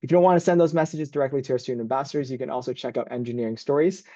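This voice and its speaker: A man's voice: mid-range voice, very fast, warm tone, no room sound, muffled recording. A man working as a university admissions advisor, hosting and presenting an informal online information session.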